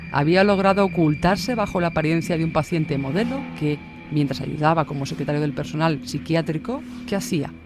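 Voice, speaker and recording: Man, true-crime narration, scripted, fairly high pitch, soft background music.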